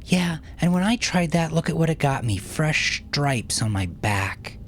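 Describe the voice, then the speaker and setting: A man's voice: on the high side, sing-song, measured pace, slight background noise, voice pushed up. A man voicing a feral, bitter young woman captive in an audiobook, snarling and sardonic. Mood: bitter challenge.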